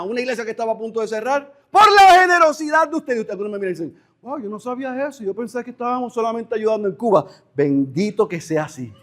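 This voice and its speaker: A man preaching a sermon as pastor, acting out imagined conversations with animated emphasis. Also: high voice.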